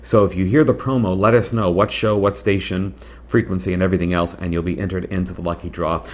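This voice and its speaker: A man's voice: low-pitched, fairly even intonation, fast. A man hosting a product review in a conversational, emphatic way, speaking directly to viewers. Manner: conversational, emphatic, addresses viewers with rhetorical questions. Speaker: host and radio reviewer. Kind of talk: casual product review to camera.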